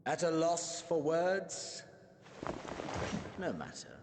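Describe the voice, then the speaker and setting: An actor playing a cold, theatrical warlord villain who speaks in grandiose, archaic phrases. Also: male voice, wildly swooping pitch, takes it slowly, exaggerated voice. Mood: menacing. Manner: grandiose, archaic speech with sweeping pitch swings. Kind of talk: video game cutscene acting. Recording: clean studio dialogue over loud game score.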